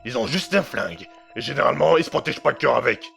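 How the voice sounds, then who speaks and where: Guy doing a really creaky gravelly hitman, sweary and mocking, audio drama with music under it. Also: medium pitch, animated, fast, fairly bright tone. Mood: scornful. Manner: slangy, swearing, sardonic. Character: cynical, trigger-happy gangster hitman, crude and mocking. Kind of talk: French audio drama acting.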